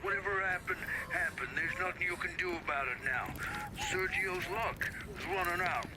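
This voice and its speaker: An actor playing an urgent mobster colleague in a crime game, firm and insistent. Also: male voice, high-pitched, normal speed, heavy background noise, close to an everyday voice. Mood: firm, steadying. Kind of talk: cinematic crime game acting.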